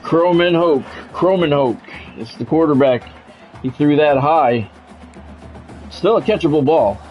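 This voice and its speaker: A man's voice: a little high, animated, a little slow, dull audio, soft background music. A man calls football play-by-play in short, clipped phrases. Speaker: play-by-play announcer. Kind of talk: American football game commentary.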